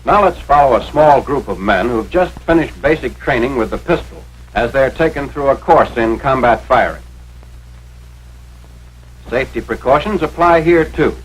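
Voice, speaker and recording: Husky American man, 1940s training-film narrator. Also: mid-range voice, lively intonation, normal speed, low-quality recording.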